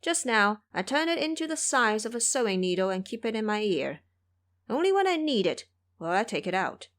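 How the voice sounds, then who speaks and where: A woman's voice: fairly high pitch, big pitch swings, moderate pace, a bit bright. A woman voicing a brash, boastful male monkey king with a commanding, exuberant manner in an audio drama. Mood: proud. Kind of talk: audio drama character acting.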